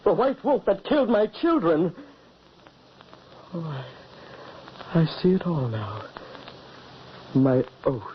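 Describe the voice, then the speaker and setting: A man's voice: on the high side, huge pitch swings, takes it slowly, pauses often, a little hiss or hum, full character voice. A man voicing an anguished middle-aged father in an old radio drama, overwrought and crying out. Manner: overwrought, cries out. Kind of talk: 1940s radio acting. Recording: dull old radio recording.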